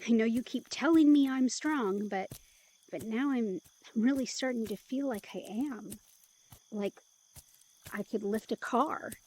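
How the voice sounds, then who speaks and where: A woman's voice: on the high side, a little slow, a little mellow, a little hiss or hum, natural voice, rough recording. A woman playing an eager, playful, stubborn young woman in an intimate audio drama, perky and insistent.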